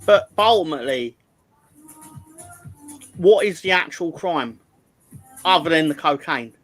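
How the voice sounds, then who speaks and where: Man, co-host, casual chat, wry and measured, slightly high pitch. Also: expressive, fairly bright tone, faint music behind.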